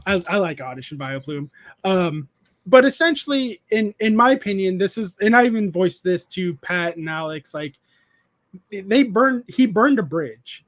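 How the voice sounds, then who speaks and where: A man's voice: high-pitched, lively intonation, moderate pace, fairly bright tone. A man in his thirties hosting a casual live stream, rambling with many restarts and reading chat messages aloud. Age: 30s. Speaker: streamer host.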